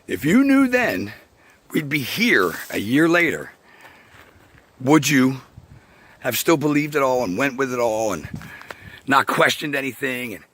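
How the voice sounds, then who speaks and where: A man with a raspy voice talks casually to camera outdoors, rambling, swearing, and laughing often as he goes. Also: mid-range voice, very animated, unhurried, long pauses, fairly bright tone. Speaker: vlogger. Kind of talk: casual outdoor video monologue. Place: outdoors by a path.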